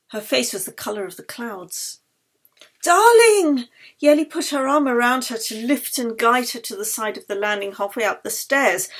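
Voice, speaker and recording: A woman reads literary fiction aloud as an audiobook. Her voice is fairly high with noticeable creak, and her delivery is expressive and dramatic. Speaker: author reading her own novel.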